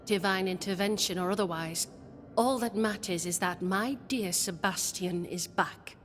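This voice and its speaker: A woman acts a devoted, proud leader of settlers in a game cutscene. Her medium-pitched voice is formal and solemn. It rings in long reverb. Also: unhurried, fairly bright tone, soft background music, lightly acted. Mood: devout, resolute. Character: proud, devoted wife leading embattled settlers. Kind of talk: video game cutscene acting.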